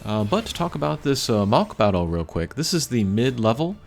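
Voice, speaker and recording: A man giving casual, unscripted gameplay commentary in a rambling, low-key way with frequent hesitant pauses. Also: sing-song, gravelly. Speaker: game video creator. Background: video game music.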